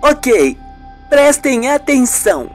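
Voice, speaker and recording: A woman acts out an earnest friend with accusations to make in a radio soap. She is insistent and explanatory in a high voice, with music underneath. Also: big pitch swings, takes it slowly, a little mellow, heavily acted. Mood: confiding. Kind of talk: amateur radio soap acting.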